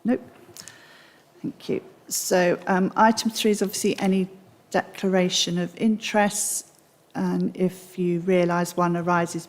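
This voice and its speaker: A woman's voice: on the low side, darkish tone. A woman chairing a committee, taking a meeting through its formal procedure in a council room. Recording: echoey meeting hall, long reverb.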